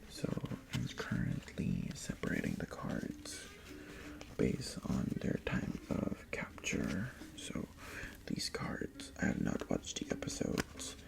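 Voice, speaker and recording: A young adult Tagalog speaker, rambling in a chatty whispered ASMR chat in a bedroom, often breaking off mid-sentence. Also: mid-range voice. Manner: rambling, chatty, self-interrupting whisper with sudden breathy exclamations. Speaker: ASMR creator. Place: bedroom indoors.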